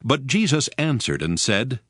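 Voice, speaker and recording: A man's voice: medium pitch, sing-song, a little slow, fairly bright tone, slightly rough, no room sound, clean studio sound. A man narrating a dramatized scripture reading in a formal storytelling manner.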